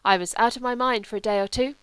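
Woman voicing a frail, wistful young English gentleman. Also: fairly high pitch, fairly even intonation, quick pace, clear, resonant tone, dry recording, voice pushed up, close to an everyday voice. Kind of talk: audiobook character reading.

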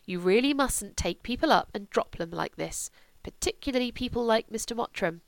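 A woman's voice: on the high side, lively intonation, normal speed, creaky, dry recording. A woman voicing a stern, devout older aristocratic mother who speaks in a cool, reproving way. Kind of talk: audiobook character voice.

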